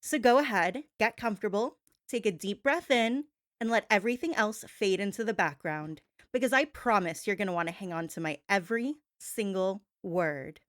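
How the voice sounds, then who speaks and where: A woman acts out a sassy female gossip radio host in a very dry, close recording. Her delivery is theatrical and conspiratorial, stretching key words for emphasis. She teases and coaxes the listener like a hypnotist, in a medium-pitched voice. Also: lively intonation, dry recording, lightly acted. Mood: coaxing. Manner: dramatic, conspiratorial, drawn-out emphasis, coaxing. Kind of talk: talk-show gossip monologue blended with hypnosis induction.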